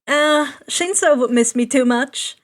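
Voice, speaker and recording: A woman's voice: high-pitched, a little slow. A woman voicing a friendly teenage boy in a story, earnest and lightly playful. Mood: casual.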